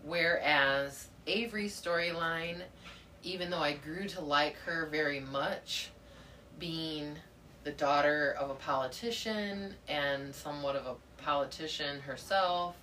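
A soft-spoken woman co-hosting a casual book club podcast, talking in a thoughtful way. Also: low voice, measured pace. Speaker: podcast co-host. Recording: distant mic with faint noise.

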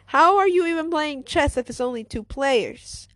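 A woman's voice: high-pitched, expressive, normal speed, a bit bright, no room sound, studio quality. A young female storyteller voicing an argumentative schoolkid, incredulous and emphatically indignant.

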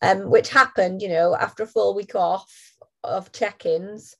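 A woman, co-hosting a podcast in casual chat while nasal and hoarse from a cold. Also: medium pitch, fairly even intonation, unhurried. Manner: chatty, laughs often. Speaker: podcast co-host.

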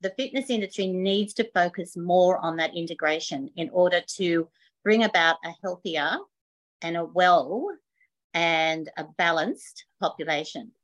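A woman's voice: lowish voice, a little slow, rough recording. Enthusiastic woman guest on a podcast. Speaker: guest, yoga and pilates teacher. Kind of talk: casual interview. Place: van while traveling.

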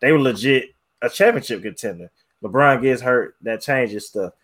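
A man chatting casually on a sports podcast. He rambles a little and leans on filler phrases. His voice sits at an ordinary middle pitch. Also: moderate pace, a bit bright. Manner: rambling, leans on "you know". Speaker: podcast panelist.

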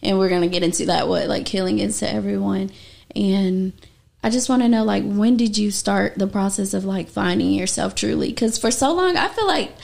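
A woman's voice: mid-range voice, normal speed, vocal fry, darkish tone. A woman in her twenties from North Carolina hosting a podcast, chatty and upbeat with casual slang. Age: 20s. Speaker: podcast host.

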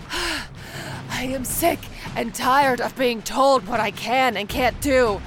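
An actress playing a fierce, impatient shapeshifting monster in a radio drama, blunt and hot-headed. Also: female voice, a little high, takes it slowly, background noise. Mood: fed up, angry.

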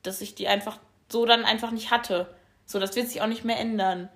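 A woman's voice: on the high side, steady tone, brisk, fairly bright tone. A young woman co-hosting a podcast, talking in a thoughtful, searching way with many fillers. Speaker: podcast co-host. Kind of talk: casual chat.

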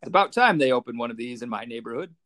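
A man's voice: medium pitch, animated, rapid-fire, bright, forceful tone. A man co-hosting a podcast, chatting casually and conversationally in a comic book review style. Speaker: podcast co-host.

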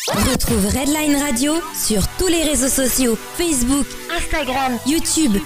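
A woman reads a radio station promo in a bright, promotional tone, pitched high. Hip hop music plays clearly behind her. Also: measured pace. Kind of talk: radio jingle.

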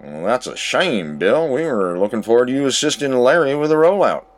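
A man's voice: medium pitch, sing-song, fairly quick, natural voice. A man playing a smug, ruthless corporate boss with a pompous, sardonic manner in a campy audio drama.

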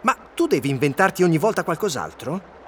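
A by-the-book man guide in an audio drama, dry and exasperated. His slightly high voice swings widely in pitch as he grumbles. Outdoor noise is audible behind him. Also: very animated, brisk. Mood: dry, grumbling. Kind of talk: naturalistic audio drama dialogue.

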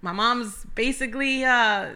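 A woman, raised in the Bronx, telling her life story in a lively way as an interview guest. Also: on the high side, measured pace. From Hawaii and the Bronx. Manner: lively storyteller, acts out quotes. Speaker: guest, church member.

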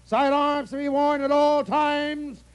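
An actor in a 1950s radio comedy playing a stern, officious inspector from Earth, clipped and commanding. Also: male voice, extremely high pitch, fairly even intonation, slow. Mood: barking orders.

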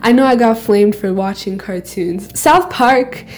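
A woman's voice: medium pitch, a little slow, darkish tone, soft background music. A teenage girl co-hosting a podcast, talking candidly and rambling, often laughing at herself. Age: teens. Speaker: podcast co-host. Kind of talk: casual solo chat.

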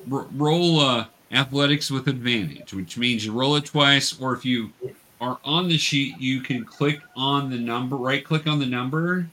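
A man's voice: medium pitch, normal speed, pushed and bright, rough recording. A man running a tabletop game as game master, coaching young players in a casual, patient way with hesitant explanations.

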